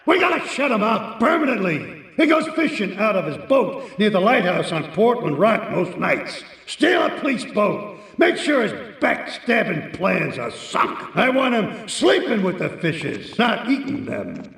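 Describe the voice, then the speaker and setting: High-pitched, jittery crooked American cop. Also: male voice, big pitch swings, measured pace, gravelly, a bit bright, full character voice. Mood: conspiratorial. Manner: agitated, streetwise, slangy. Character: jittery, foul-mouthed corrupt American cop, middle-aged, paranoid. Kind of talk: video game cutscene acting. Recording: echoey hall, distant, faint noise.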